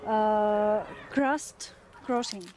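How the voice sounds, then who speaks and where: A woman asking questions in slow, halting English. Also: mid-range voice, fairly even intonation, darkish tone. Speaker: co-interviewer.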